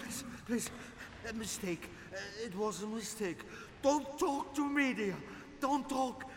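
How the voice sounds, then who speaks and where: An actor playing a panicked victim begging in a radio thriller, with broken, repetitive phrases. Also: male voice, very high-pitched, expressive, slow, exaggerated voice. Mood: terrified pleading. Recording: reverberant, noisy, far from mic.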